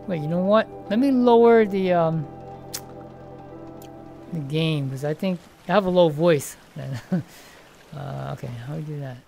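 A woman with a gravelly, creaky edge to her voice, talking casually through a live game stream from her room at home while wearing a VR headset. Her commentary rambles, stopping and restarting as she works out the controls, with faint music playing underneath. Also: low-pitched, expressive, unhurried, pauses often, warm tone. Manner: rambling, hesitant, lots of restarts.

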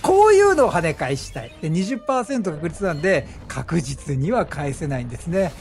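Animated high-pitched man commentating a game. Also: a little fast, soft background music. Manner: chatty, animated, exclaims at the action. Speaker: gaming streamer commentating gameplay. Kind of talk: excited game commentary and explanation.

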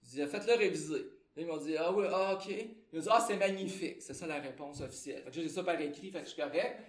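A man's voice: fairly high pitch, fast talker, echoey room. A man with a Québécois French accent, a translator giving an informal conference talk in a loose, conversational way with many fillers.

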